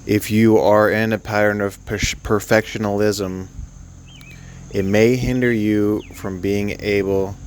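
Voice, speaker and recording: A man leading a guided sleep meditation, speaking gently and soothingly in an unscripted, halting way with long pauses. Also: fairly low pitch, flat delivery, a little hiss or hum.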